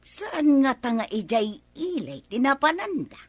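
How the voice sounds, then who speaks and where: Chatty ilocano village woman in radio comedy, sharp tongue, highish forceful voice, dry studio sound. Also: very animated, measured pace, slightly rough, no room sound, exaggerated voice. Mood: brisk, annoyed. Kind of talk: radio comedy drama acting.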